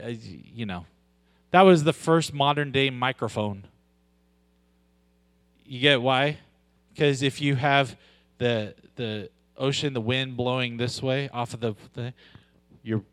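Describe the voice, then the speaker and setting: A man preaching a sermon with a loud voice, moving from joking, conversational asides to slow, weighty emphasis on scripture. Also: medium pitch, no room sound. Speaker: pastor.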